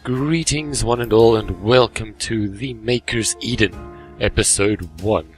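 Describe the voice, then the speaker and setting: A man's voice: on the low side, measured pace. A man hosting a gaming video with casual, chatty, easily amused commentary on a game as he plays it. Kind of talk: casual let's play commentary, reading game dialogue aloud. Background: game music.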